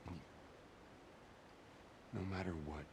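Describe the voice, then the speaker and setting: An actor playing a weary, guarded middle-aged survivor in a video game drama, speaking gently, gravely and haltingly in a low hush. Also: male voice, steady tone, background noise. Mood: earnest, subdued. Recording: game dialogue under music.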